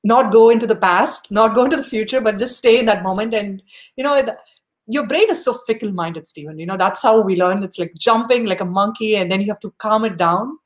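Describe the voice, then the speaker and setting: A woman's voice: mid-range voice, a little fast. Upbeat woman hosting a live talk show. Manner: upbeat, encouraging, many "you know" fillers. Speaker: podcast host. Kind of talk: casual interview chat.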